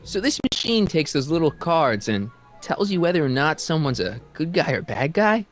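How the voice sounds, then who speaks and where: Lively skeptical teenage boy. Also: on the high side, moderate pace, soft background music. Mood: curious. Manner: questioning, casual, incredulous. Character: skeptical, casual teenage boy, friend of an inventor. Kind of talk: animated video game dialogue.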